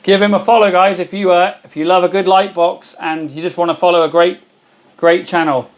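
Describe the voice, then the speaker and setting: Host: hoarse man, slightly high voice, chatty and friendly with viewers, casual live-stream energy. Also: little pitch movement, fairly quick. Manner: chatty, greets viewers by name. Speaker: livestream host.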